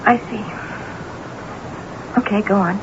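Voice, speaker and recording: An actress playing a cool, scheming, domineering mistress in a radio mystery drama, speaking deliberately and insistently. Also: female voice, mid-range voice. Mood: cool, probing. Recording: noisy, muffled old radio broadcast recording.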